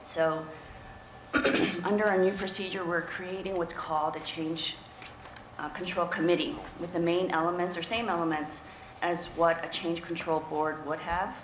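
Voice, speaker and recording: A woman with a raspy, under-the-weather voice gives a formal, halting briefing to a board at a public meeting. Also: on the low side, steady tone. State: coming down with a cold, throat-clearing. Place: indoor board meeting room. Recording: echoey meeting room with clear background noise.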